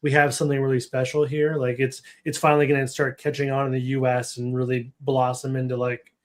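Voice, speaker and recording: Male podcast host, mid-pitched voice, casual and eager, loose phrasing. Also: flat delivery, brisk. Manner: eager, casual questions with many "like"s.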